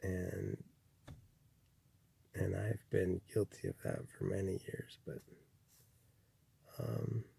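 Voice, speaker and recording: A man from Chile speaks in a low, deeply gravelly and husky voice, his tone dark and mellow. He muses slowly on spiritual matters, circling back over his words with pauses and restarts. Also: wildly swooping pitch. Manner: slow, rambling, with many restarts and fillers. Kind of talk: reflective spiritual monologue.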